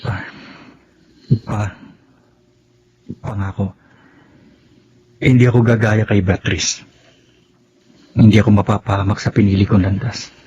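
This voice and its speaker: A man's voice: on the low side, steady tone, measured pace, pauses often, darkish tone, slight background noise, close to an everyday voice. A man acting a remorseful grown son in a radio drama, pleading emotionally with a Filipino accent. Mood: earnest pleading. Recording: muffled lo-fi radio audio.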